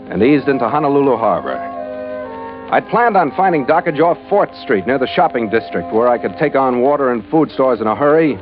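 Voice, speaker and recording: A man's voice: medium pitch, close to an everyday voice. A man playing a hard-boiled sea captain, dull recording. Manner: clipped, hard-boiled, terse. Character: tough, suspicious male sea captain, gruff and wary. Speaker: lead actor and narrator. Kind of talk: 1940s radio acting, first-person narration of a ship's log. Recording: dull vintage radio recording, loud music.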